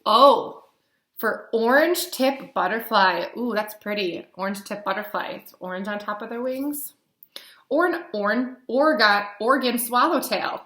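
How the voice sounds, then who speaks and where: A woman in her thirties reading a picture book aloud as a teacher, animated and explaining things simply to young children. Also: a little high, a little slow. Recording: roomy echo.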